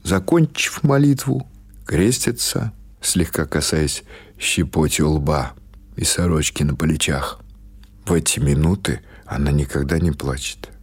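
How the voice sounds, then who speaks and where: A man reading literary prose as an audiobook narrator, in a measured, expressive storytelling manner. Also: a bass voice, breathy edge.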